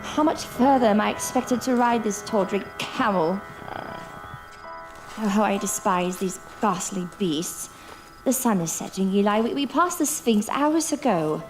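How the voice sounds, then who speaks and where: An actress playing a sharp-tongued, reluctant explorer's companion in a period horror audio drama, with formal, theatrical exasperation. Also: female voice, medium pitch, animated, measured pace, over music, lightly acted, rough recording. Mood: exasperated.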